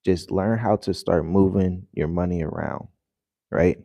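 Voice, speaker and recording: A man who has just woken up, his voice deeper than usual, talking casually and rambling to viewers in a vlog with lots of fillers. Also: unhurried, dark tone, dry recording. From United States. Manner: rambling, loose and conversational with many fillers. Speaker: vlogger. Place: home in the early morning.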